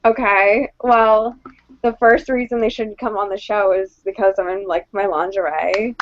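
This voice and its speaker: A woman's voice: medium pitch, fairly even intonation, normal speed, no room sound, lo-fi sound. A woman in her twenties being interviewed on a podcast, shy and hesitant, prone to giggling. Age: 20s. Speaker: guest, show host. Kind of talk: casual podcast chat.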